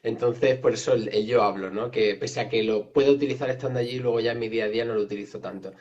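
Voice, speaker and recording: Male guest from Extremadura, mid-pitched, thoughtful and explanatory, with a slightly dull, muffled recording. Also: fairly even intonation, fast, darkish tone. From Extremadura, Spain. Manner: reflective, explanatory, hesitant. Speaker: writer and translator, guest. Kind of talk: casual conversation.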